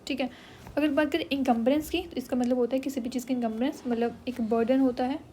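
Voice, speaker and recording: A woman's voice: high-pitched, steady tone, fast, mellow, a little hiss or hum. A woman with an Indian English accent teaching English vocabulary in mixed Hindi and English, checking in often with her students. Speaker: English exam tutor. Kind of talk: Hinglish vocabulary lesson.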